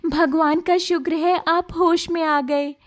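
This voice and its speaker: High female voice, actress as gentle village girl, apologetic, polite, earnest, cartoon story acting. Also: fairly even intonation, slow. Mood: anxious, relieved. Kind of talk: Hindi animated moral-story acting.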